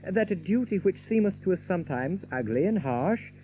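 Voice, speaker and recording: An actor playing a genteel, wry young outlaw with a refined manner, speaking archaic English in a radio drama. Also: male voice, sits high, animated, normal speed, dark tone, a little hiss or hum, low-quality recording. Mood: wry musing.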